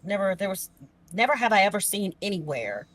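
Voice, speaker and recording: A woman's voice: medium pitch, very animated, a little fast, fairly bright tone. A woman chatting casually as a podcast co-host and emergency dispatcher, with a folksy, emphatic manner that repeats phrases for effect.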